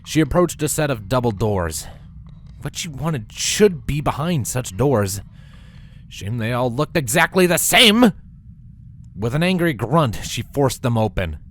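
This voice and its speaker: A man narrating a scripted story with a dramatic, expressive delivery. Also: medium pitch, a little slow.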